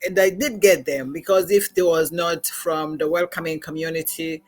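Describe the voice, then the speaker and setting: Rwandan woman, middle-aged, talks slow and earnest with lots of uhs, interview guest. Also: low voice, steady tone, a little mellow. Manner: earnest, measured, pauses with many fillers. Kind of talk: personal testimony in a podcast interview.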